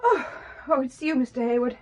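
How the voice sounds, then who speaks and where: An actress playing a familiar, chatty secretary in a radio drama. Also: female voice, sits high, expressive, a little fast, background noise. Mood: curious, teasing. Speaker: actor in a spy radio drama.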